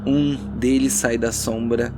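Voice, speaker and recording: A man's voice: mid-range voice, fairly even intonation, takes it slowly, heavy background noise. A Brazilian man running a tabletop role-playing game as narrator, mixing theatrical, suspenseful storytelling with casual banter. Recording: loud background music under the voice.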